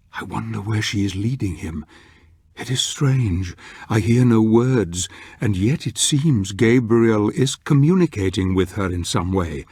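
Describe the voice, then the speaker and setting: Dark fantasy game narrator: grave, measured, theatrical, noticeably husky, recorded dry. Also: male voice, lowish voice, no room sound. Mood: wondering, ominous. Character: grave older male narrator, brooding storyteller of a dark fantasy. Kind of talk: scripted dramatic narration.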